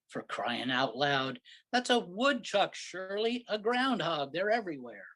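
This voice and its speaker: A man's voice: high voice, big pitch swings, slow, bright, forceful tone, exaggerated voice. A man acting an exasperated, sarcastic city husband. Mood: irritated. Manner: sarcastic, grumbling. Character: exasperated, impatient New York husband lost in the countryside. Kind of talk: staged reading of a comic play.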